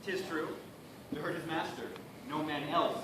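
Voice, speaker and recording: Blunt, commanding knight acted by a man, declamatory, somewhat high-pitched, noisy amateur stage recording. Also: steady tone, measured pace, close to an everyday voice. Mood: curt. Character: blunt, commanding knight, defiant peacekeeper among angry lords. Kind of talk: amateur Shakespearean stage acting. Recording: echoey, noisy hall, distant microphone.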